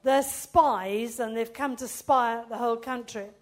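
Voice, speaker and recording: A woman's voice: a little high, steady tone, a little slow, natural voice. A woman reading aloud the lines of a stern messenger from a king.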